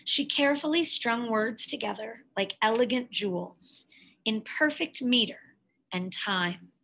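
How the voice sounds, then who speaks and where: Slow-paced woman reading a storybook aloud. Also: fairly high pitch, vocal fry, rough recording. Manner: measured, careful, expressive phrasing. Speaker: storybook reader. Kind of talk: scripted picture-book read-aloud.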